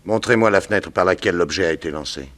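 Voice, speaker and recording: Low-voiced, methodical male detective. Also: fairly bright tone. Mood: courteous, businesslike. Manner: measured, courteous, probing. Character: calm, methodical male detective questioning witnesses. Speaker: actor in a detective radio serial. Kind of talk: 1960s French radio drama acting.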